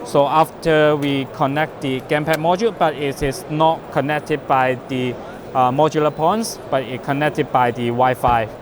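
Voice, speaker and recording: A man who is not a native English speaker gives an unscripted product demonstration as a company representative, speaking haltingly with broken phrasing. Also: on the high side, steady tone. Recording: noisy trade show floor.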